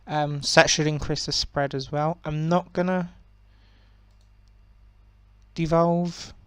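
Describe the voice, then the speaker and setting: Croaky young man narrating gameplay. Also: fairly high pitch, slow, no room sound. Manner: relaxed and rambling, with many ums and self-corrections. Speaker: gaming channel host. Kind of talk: casual game commentary.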